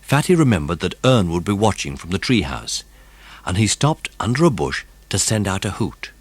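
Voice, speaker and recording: Deep, expressive male storyteller. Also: moderate pace, husky, dry recording. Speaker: audiobook narrator. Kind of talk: dramatic storybook narration of a children's mystery.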